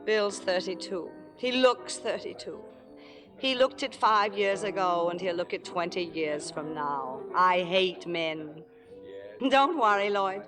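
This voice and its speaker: A jealous, biting stage diva. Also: female voice, on the low side, slow, music in the background. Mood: bitter. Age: middle-aged. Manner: theatrical, biting sarcasm. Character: jealous, sharp-tongued forty-year-old stage actress. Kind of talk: old-time radio drama acting. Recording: vintage 1940s-50s radio broadcast.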